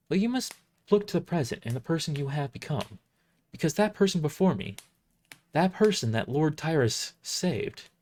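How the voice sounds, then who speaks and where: A man voicing a gentle, kind, big-sisterly woman healer with a caring manner in an audio drama. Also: fairly high pitch, voice pushed up. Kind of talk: amateur audio drama character reading.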